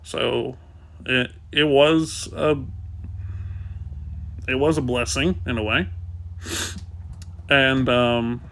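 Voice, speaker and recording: A man's voice: mid-range voice, drawn-out words, vocal fry, long pauses. Stuffed-up man chatting casually. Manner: soft, rambling, with fillers. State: congested, sniffling. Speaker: kitten rescuer. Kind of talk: casual vlog talk.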